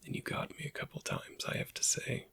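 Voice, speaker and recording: A man playing a weary, bitter Imperial interrogator in a whispered ASMR roleplay, questioning in a halting, pointed way with a breathy, husky voice. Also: pushed and bright, natural voice. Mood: resigned.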